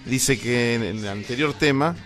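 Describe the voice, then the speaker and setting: A man's voice: medium pitch, unhurried, fairly bright tone. A man hosting a casual radio chat, agreeably chiming in. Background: music, guitar.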